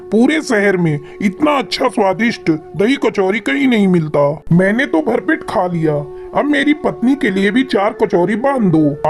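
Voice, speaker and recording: A man voicing a cheerful, effusive male bird customer in a cartoon story. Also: sits high. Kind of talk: Hindi cartoon moral-story dubbing. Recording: music behind the voice.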